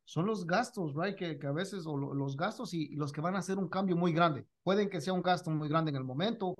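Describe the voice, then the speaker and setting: A man's voice: a little high, a little fast. A man co-hosting a casual podcast, talking hesitantly, repeating words as he goes and slipping in bits of English. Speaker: podcast co-host.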